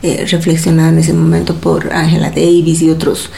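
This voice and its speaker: A woman's voice: low voice, completely flat delivery, a little fast, creaky, warm tone. A woman giving reflective, analytical interview answers in academic wording, recorded in a roomy space. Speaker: feminist activist and social psychologist being interviewed. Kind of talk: spontaneous analytical interview talk.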